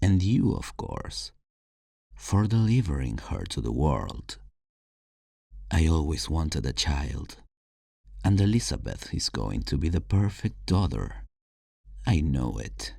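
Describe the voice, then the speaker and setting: A man playing an obsessive kidnapper in an ASMR roleplay, tender yet menacing, speaking intimately and deliberately to a captive as he justifies himself. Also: very deep voice, sing-song, gravelly, warm tone, dry recording, clean studio sound, full character voice. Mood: tender, proud.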